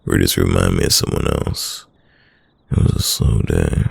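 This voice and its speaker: A man playing a devoted, soft-spoken boyfriend in an intimate late-night roleplay ASMR, murmuring tenderly and musingly in a deep, gravelly, breathy voice. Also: completely flat delivery, normal speed, no echo, heavily acted. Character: devoted soft-spoken boyfriend whispering to his sleeping lover.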